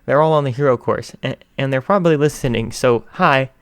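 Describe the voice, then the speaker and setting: A man's voice: mid-range voice, a little fast, smooth, no echo, studio quality, pitched above the performer's own voice, close to an everyday voice. A man reading aloud, voicing a shy teenage boy who stammers nervously.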